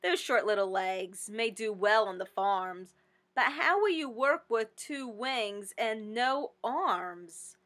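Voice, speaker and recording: A woman voicing a calm, doubtful male Easter bunny in a storybook, with questioning delivery. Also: fairly high pitch, slow. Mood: doubtful, advising.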